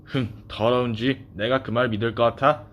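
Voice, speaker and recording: A man voicing a grumpy, suspicious pig in a children's storybook, with a gruff, accusing manner. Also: medium pitch, steady tone, normal speed, highs cut off, natural voice. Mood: scornful.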